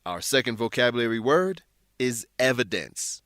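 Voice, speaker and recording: A man's voice: medium pitch, animated, moderate pace, fairly bright tone, clean tone, no room sound, studio quality. A man narrating a scripted educational radio program as host and English teacher, addressing listeners in an explanatory way.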